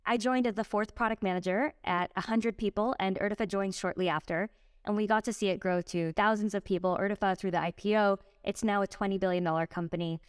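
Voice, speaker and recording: A woman's voice: mid-range voice, clean tone, dry recording, clean studio sound. A woman, a startup co-founder, giving fluent, articulate answers in a podcast interview. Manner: articulate, fluent explanations with analogies.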